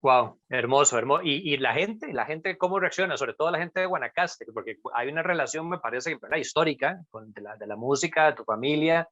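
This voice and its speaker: A man's voice: fairly high pitch, expressive, brisk, few pauses, fairly bright tone, dry recording. A man hosting an interview over a live video stream, asking questions eagerly with frequent fillers. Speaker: interviewer host. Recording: dull, dry video-call audio.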